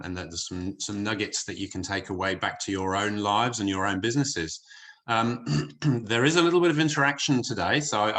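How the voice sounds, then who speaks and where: Low-voiced British man presenting online. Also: pushed and bright. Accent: English with a slight New Zealand tinge. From United Kingdom. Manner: chatty, hesitant, many fillers and restarts. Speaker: wellness presenter. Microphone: video call.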